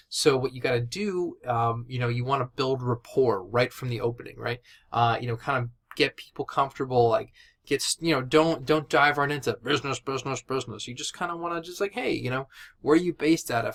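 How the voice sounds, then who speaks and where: A man with a mid-range voice teaches in a relaxed, informal way. He speaks like an instructor chatting directly to his students, loosely and conversationally, often tagging points with a quick check that they follow. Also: mid-range voice. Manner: informal and conversational, addresses listeners as guys, often checks with "right?". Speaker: instructor of a sales and digital marketing course. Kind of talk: casual instructional talk.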